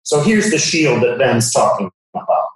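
A man's voice: medium pitch, sing-song, moderate pace, slight background noise. A middle-aged or older man, a sales director, giving conversational answers as a podcast guest. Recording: roomy, echoing space.

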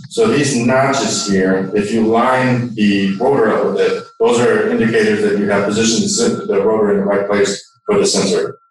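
A man's voice: lowish voice, fairly even intonation, moderate pace, hardly any pauses, a little hiss or hum. A man giving a hands-on technical demonstration, informally pointing things out as he works. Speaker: product demonstrator. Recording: distant mic in very echoey room.

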